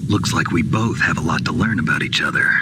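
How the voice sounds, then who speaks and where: An actor playing a gruff, terse special operations soldier in a video game, cool and wry with a flirtatious streak. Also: male voice, fast. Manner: terse, deadpan. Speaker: video game lead character. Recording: noisy ambient rumble throughout.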